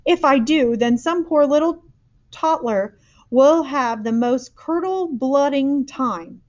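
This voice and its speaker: A woman's voice: high-pitched, slow pace, darkish tone, pitched above the performer's own voice. A woman voicing a big, friendly old male giant who mangles his grammar and invents words, in a dull lo-fi recording. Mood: fierce.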